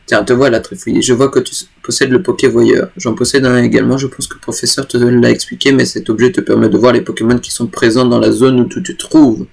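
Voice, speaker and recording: A kindly video-game father passing on advice, voiced by a man reading the game's text aloud. The delivery is plain and unhurried, with a gravelly, fry-laden tone. A cold leaves the voice stuffy. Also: medium pitch, flat delivery, a little mellow. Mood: encouraging. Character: kindly middle-aged father giving advice.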